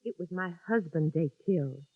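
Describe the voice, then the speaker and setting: A woman's voice: fairly low pitch, moderate pace, husky, no echo. An actress playing a frightened, lonesome young woman who pleads urgently, in a 1950s radio drama. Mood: confiding. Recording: dull lo-fi 1950s radio recording.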